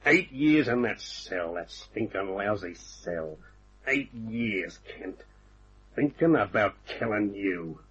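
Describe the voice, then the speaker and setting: A man's voice: lowish voice, lively intonation, a bit bright, slightly rough, full character voice. An actor playing a bitter, vengeful ex-convict gangster who taunts and drags out his threats in an old-time radio crime drama. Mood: bitter menace. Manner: menacing, drawn-out, taunting. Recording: muffled, phone-like old radio recording.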